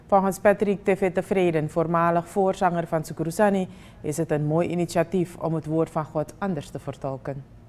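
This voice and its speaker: A woman's voice: lowish voice, fairly even intonation, normal speed, a little mellow. A woman reading scripted news as a presenter, speaking with a Surinamese Dutch accent. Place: TV studio.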